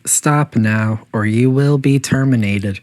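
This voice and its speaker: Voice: mid-range voice, slow pace, darkish tone, lightly acted. A performer voicing a polite but menacing artificial intelligence that runs test chambers, making formal, clinical, passive-aggressive announcements in a video game. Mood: cold, threatening. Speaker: facility announcer.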